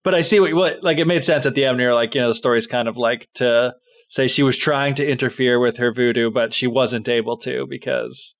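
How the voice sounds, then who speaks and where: A man's voice: medium pitch, fairly even intonation, a little fast, vocal fry. Man, mid-range voice, casual conversation, informal reactions, loose phrasing, podcast co-host. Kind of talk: casual chat.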